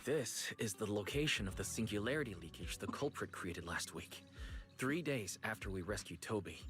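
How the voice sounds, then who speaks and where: An actor voicing a calm, earnest young male investigator in a video game, pondering and explaining things deliberately. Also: fairly low pitch.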